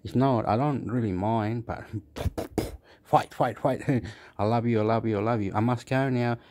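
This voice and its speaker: A man's voice: mid-range voice, unhurried, noisy background. A man with an Australian accent gives a rambling commentary over a phone screen recording, repeating himself and restarting his words. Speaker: vlogger.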